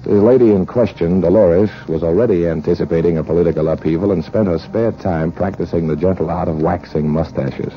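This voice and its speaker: An actor playing a cynical, money-hungry American gunrunner in 1940s radio drama, wry and wisecracking, with hard-boiled narration. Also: male voice, deep voice, fairly quick, hardly any pauses, lightly acted. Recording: muffled, noisy 1940s radio recording.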